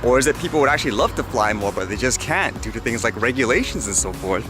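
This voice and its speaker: A man with a medium-pitched voice chats in an informal vlog style over clearly audible music. He reads items aloud and muses on them, often posing questions to himself and his viewers. He sounds like he is recording outdoors on a small camera. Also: expressive, fast. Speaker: vlogger. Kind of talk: casual vlog chat, reading news items aloud with comments. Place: outdoors in a windy urban area. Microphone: action camera. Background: clear background music.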